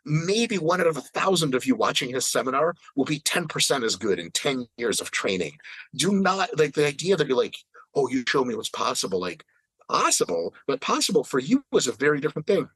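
Animated, swearing man in his thirties. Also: medium pitch, a little fast, slightly rough, no echo, poor audio. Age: 30s. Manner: animated, profane, emphatic ranting. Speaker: fitness podcast regular. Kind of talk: casual podcast chat.

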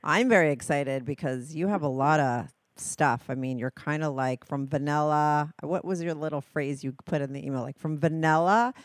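Middle-aged woman podcast host, low-ish, blunt and candid, dry close sound. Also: normal speed, hardly any pauses, no echo. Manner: candid, blunt, laughs often, swears. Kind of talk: casual interview chat.

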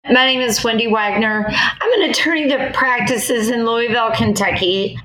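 A woman with an American accent answering interview questions as an attorney, in a measured, explanatory conversational way. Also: on the high side, bright, forceful tone, a little hiss or hum. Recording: echoey, roomy sound.

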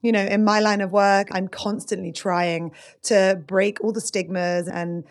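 A woman's voice: medium pitch, level tone, a little slow. Open, chatty woman. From United Kingdom. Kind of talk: casual podcast chat.

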